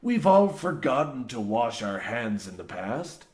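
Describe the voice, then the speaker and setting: A man's voice: medium pitch, very animated, a little slow, a little mellow. Radio play spoof: male actor as crusty old coal foreman, archaic country turns of phrase, unbothered by doom, mid-range voice. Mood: blustering, indignant. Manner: archaic folksy dialect, ye and tells you. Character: gruff old male coal mine foreman, folksy and morbidly blasé. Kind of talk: old-time radio horror comedy acting.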